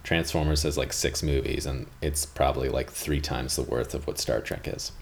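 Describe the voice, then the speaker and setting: A man's voice: very deep voice, steady tone, normal speed, gravelly, slight background noise. A man in his thirties from Canada, hosting a podcast in a casual, rambling monologue full of fillers and asides. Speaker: podcast host.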